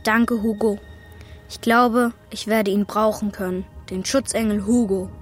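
A woman's voice: mid-range voice, fairly even intonation, unhurried, faint music behind, close to an everyday voice. A woman playing an earnest, anxious eleven-year-old boy in a children's radio play, speaking simply, like a child. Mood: anxious, subdued.

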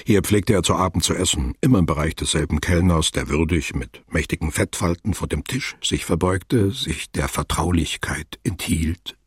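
A man narrating a literary radio play as a sober, observant storyteller with a detached, deliberate delivery. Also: low-pitched, husky, dry recording, clean studio sound.

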